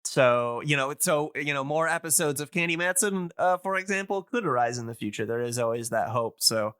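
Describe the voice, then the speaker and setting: A man with a bright, smooth voice in a dry, close recording. He talks casually, as an enthusiast explaining on a podcast. His delivery is halting and rambling, padded with fillers. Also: a little high, animated, no room sound. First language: English. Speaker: podcast speaker on old-time radio.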